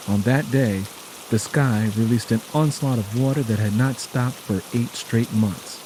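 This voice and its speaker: A man tells a dramatic story as its narrator, in a dark, mellow voice of medium pitch. His delivery is solemn and measured, with music playing underneath. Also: slight background noise, poor audio.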